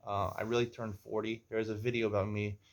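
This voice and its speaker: A man's voice: on the low side, level tone, quick pace, slight background noise. A man in his forties hosting a casual show, chatty and teasing as he tells stories and jokes with a guest. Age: 40s. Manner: jokey, teasing, chatty storyteller. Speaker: host. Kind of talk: casual chat and storytelling. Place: Israel.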